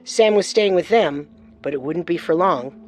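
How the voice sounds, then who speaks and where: A woman's voice: on the low side, lively intonation, normal speed, darkish tone. A woman narrating a scripted true-crime story as host.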